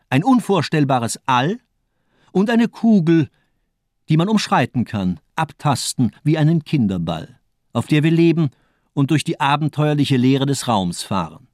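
An actor playing a cold, fanatical nihilist doctor in a German radio crime drama, declaiming with mockery and rhetoric. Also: male voice, mid-range voice, no echo, clean studio sound. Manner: rhetorical, mocking, declamatory monologues.

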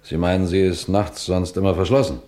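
Ein Schauspieler spielt in einem Krimihörspiel einen scharfsinnigen, befehlsgewohnten Kriminalrat, der bedächtig fragt und anordnet. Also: male voice, sits low, steady tone, mellow, natural voice. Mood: probing. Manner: commanding, measured, questioning. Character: authoritative, shrewd police detective superintendent, first-person narrator. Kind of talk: German radio crime play acting.

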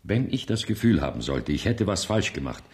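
An actor playing a grave, conscientious senior judge in a radio play, speaking formally in a measured, earnest way. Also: male voice, sits low, sing-song, darkish tone. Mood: earnest resolve. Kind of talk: radio play acting in standard German.

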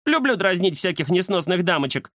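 A man voicing a chatty, mischievous young cat with an excitable, teasing manner in a children's story. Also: high-pitched, fairly quick, no room sound, clean studio sound, voice pushed up. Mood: excited, cheeky. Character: cheeky chatty round-faced young male cat, mischievous.

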